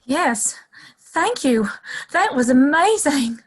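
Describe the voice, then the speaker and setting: A woman's voice: sits high, sing-song, takes it slowly, heavily acted. A woman acting a feisty, wronged saloon girl in a western audio play, sassy and scornful. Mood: grateful.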